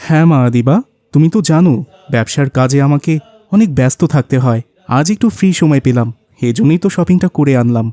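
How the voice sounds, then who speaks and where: A man's voice: a little high, quick pace, mellow, no echo, lightly acted. A man with a Bangladeshi accent voicing a rich, generous, boastful businessman father in a cartoon. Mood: proud, affectionate. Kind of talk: cartoon voice acting.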